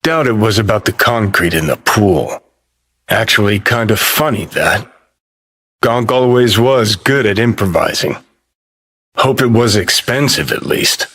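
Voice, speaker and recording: An American man playing a cynical, foul-mouthed, world-weary rebel rock star in a video game, with a gravelly, husky voice and a clipped, sardonic, swaggering drawl. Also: on the low side, expressive, unhurried, a bit bright. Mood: sardonic, mocking. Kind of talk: video game character dialogue.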